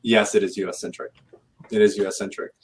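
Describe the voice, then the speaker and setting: A man's voice: lowish voice, level tone, fast, a little hiss or hum. A man talking casually as a guest on a recruiting panel, rambling and correcting himself as he goes. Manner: rambling, self-correcting, laid-back.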